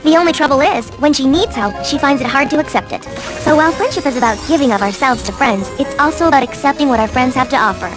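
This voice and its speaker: A woman voicing a studious, earnest young female unicorn pony in an animated cartoon, speaking in precise, wordy sentences. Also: high-pitched, fast talker, music in the background, lightly acted. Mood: reflective.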